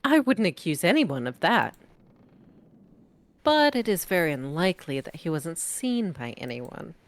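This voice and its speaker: A woman's voice: lowish voice, big pitch swings, unhurried, no echo. A woman chatting and teasing on a game stream, laughing often. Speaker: friend voicing characters on a stream. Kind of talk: casual chat.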